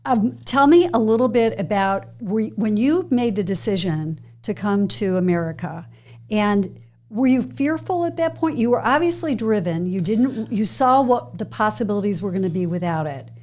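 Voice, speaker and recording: A woman's voice: medium pitch, lively intonation, moderate pace, a little mellow. Woman journalist doing the interview, upbeat, encouraging questions. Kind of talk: podcast interview.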